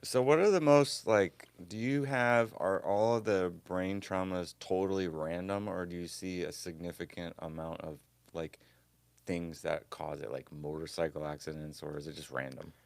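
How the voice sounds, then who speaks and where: A man's voice: low voice, fairly even intonation, moderate pace, creaky, no echo. A man hosting a podcast in a casual, slangy chat style, asking questions informally. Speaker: podcast host.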